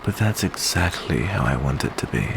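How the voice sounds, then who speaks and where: Guy doing a polite but predatory vampire for asmr roleplay, really deep soft voice, noisy background hiss. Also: heavily acted. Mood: sinister, gloating. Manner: unhurried, genteel, uses endearments. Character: courteous, smooth male vampire host with hidden predatory menace. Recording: clear steady background noise.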